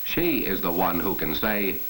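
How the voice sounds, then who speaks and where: A man narrates a 1940s corporate training film in a low, expressive voice. He is earnest and instructive, speaking straight to the viewer. The old soundtrack carries a faint hiss. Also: a little slow, low-quality recording.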